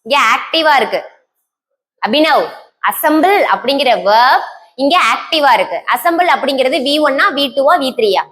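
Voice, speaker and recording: A woman teaching a classroom grammar lesson, explaining step by step, quizzing the class and answering herself, and reading English example sentences out slowly word by word. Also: high voice, lively intonation, a bit bright. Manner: scolds and teases students lightly between explanations.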